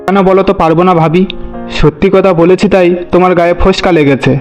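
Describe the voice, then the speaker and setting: A man's voice: sits high, fairly even intonation, quick pace, close to an everyday voice. A man voicing a resentful, outspoken middle brother and husband in a Bengali family cartoon. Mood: confrontational. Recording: dull lo-fi audio with music.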